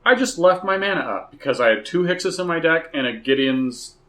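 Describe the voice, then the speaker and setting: A man chatting casually and excitedly about a card game, profane and emphatic, telling stories with gusto. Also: on the high side, a little fast. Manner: profane, emphatic, animated storytelling. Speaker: podcast co-host.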